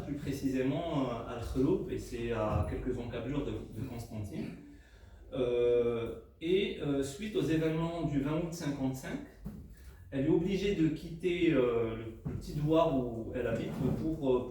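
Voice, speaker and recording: A man with a warm, mellow voice, Arabic-speaking by birth, talks through his book without a script, pausing on fillers and restarting phrases. He is heard from a distance in a very reverberant hall, with faint noise behind him. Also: mid-range voice. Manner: hesitant, many fillers and restarts. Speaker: co-author presenting his book. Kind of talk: unscripted book talk to an audience. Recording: echoey room, mic at a distance, faint noise.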